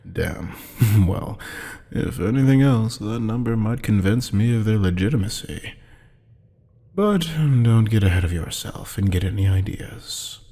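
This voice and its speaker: A man playing a haughty, teasing demon buyer in an ASMR roleplay drama, with a low, gravelly, husky voice and a taunting, mock-polite manner. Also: animated, a little slow, dark tone. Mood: cool, businesslike. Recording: long hall-like reverb.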